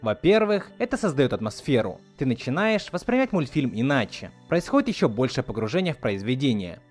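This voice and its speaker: A man's voice: medium pitch, big pitch swings, brisk, faint music behind. A man hosting a video series, reading a scripted video essay. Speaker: host of a video series on film dubbing.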